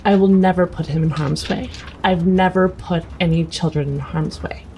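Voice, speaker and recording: A woman's voice: on the low side, fairly even intonation, moderate pace, warm tone, a little hiss or hum, poor audio. A woman playing a tabletop game, chatting casually in character. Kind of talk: casual in-character roleplay chat.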